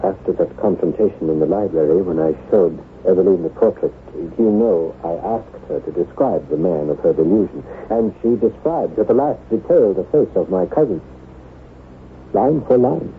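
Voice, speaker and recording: An anguished husband, tinny old radio sound. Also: male voice, deep voice. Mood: haunted, despairing. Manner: melodramatic, halting, repeats words for emphasis. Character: anguished, jealous husband of a French chateau. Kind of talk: old-time radio drama acting. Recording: tinny, noisy vintage radio recording.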